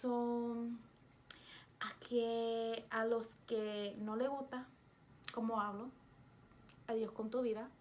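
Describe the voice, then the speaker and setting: A vlogger with a New York accent speaks straight to her camera, emphatic and openly indignant, her voice sitting a little on the high side. Her delivery is casual and expressive. A faint hiss of background noise runs underneath. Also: slow, pauses often. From United States.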